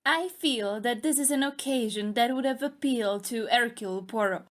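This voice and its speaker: A woman acts a genteel lady novelist speaking formally on the radio. Her voice is fairly high, her delivery measured and gracious. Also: slight background noise, close to an everyday voice. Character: genteel, gracious lady mystery author giving radio address. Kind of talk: formal scripted radio address.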